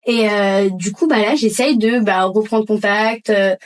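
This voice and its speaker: A woman guest on a casual podcast, telling lively stories in heavy casual slang. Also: medium pitch, unhurried.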